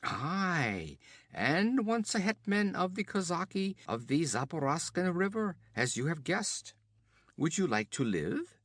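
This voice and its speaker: A man voicing a hard, boastful outlaw chief who gives orders in a raised, loud voice. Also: a little high, sing-song, a little slow, no room sound, clean studio sound, pitched above the performer's own voice, heavily acted. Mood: swaggering. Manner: boastful, commanding.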